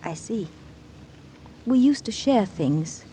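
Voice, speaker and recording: An actress playing a neglected, quietly hurt wife in an old radio drama, restrained and gently probing. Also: female voice, medium pitch, expressive, takes it slowly. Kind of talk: mid-century radio drama acting. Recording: old radio recording, faint noise.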